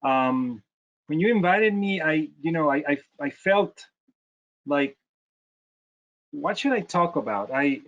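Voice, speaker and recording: A man's voice: on the high side, animated, takes it slowly, pauses often, fairly bright tone, dull audio. A man from Chile, giving a lecture over a video call, hesitant with many fillers and repeated words. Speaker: guest lecturer, plastic surgeon.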